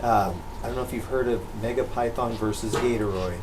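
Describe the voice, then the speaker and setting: A man's voice: medium pitch, brisk, crowd noise, rough recording. A middle-aged American man hosting a vlog, talking in a casual narrating and chatting style. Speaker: vlog host.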